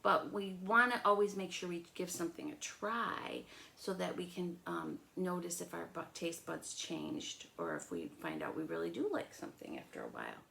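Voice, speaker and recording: Lady doing storytime for kids, expressive n encouraging, bit of vocal fry. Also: fairly low pitch, moderate pace, poor audio. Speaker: children's storytime host and teacher. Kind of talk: animated storytelling and chat for children.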